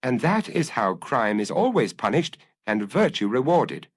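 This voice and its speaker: A man voicing a proud, self-satisfied gentleman thief in an audiobook, boastful and grand in his telling. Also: medium pitch, very animated, measured pace. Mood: smugly proud. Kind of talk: audiobook character acting.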